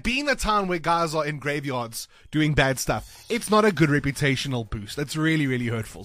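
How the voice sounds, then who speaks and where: A South African man hosting a breakfast radio show, chatting in a lively, expressive way and laughing easily. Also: on the high side, dry recording. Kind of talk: casual radio chat. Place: radio studio. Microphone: studio microphone.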